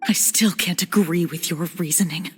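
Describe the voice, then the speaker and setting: An actress playing a bitter, grieving young woman bent on revenge in a video game cutscene, speaking in a deliberate, accusing way. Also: fairly low pitch, slightly rough. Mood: defeated, resentful. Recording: long reverb, music underneath. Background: music.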